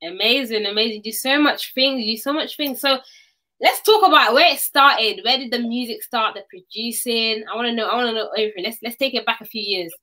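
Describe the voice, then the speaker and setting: A woman's voice: fairly high pitch, a little fast, bright, forceful tone. A young woman hosting an interview show over a video call, chatting in an upbeat, enthusiastic and affirming way. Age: young adult. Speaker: show host. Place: small room at home, video call.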